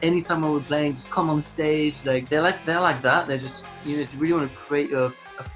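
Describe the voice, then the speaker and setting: Male musician, fairly high voice, casual halting storyteller, music behind. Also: fairly even intonation, a bit bright. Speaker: band musician being interviewed.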